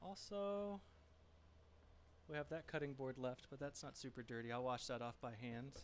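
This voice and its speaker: A soft-spoken man chatting casually with viewers as he cooks and cleans up in his home kitchen, reading chat messages aloud. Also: mid-range voice, moderate pace, background noise. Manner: relaxed, chatty, talks to viewers while working. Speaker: streaming home cook.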